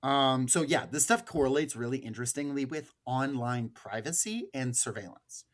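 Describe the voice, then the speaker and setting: Male podcast host, average pitch, loose slangy delivery for informal political chat and stories. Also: lively intonation, moderate pace. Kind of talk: casual political podcast talk with anecdotes.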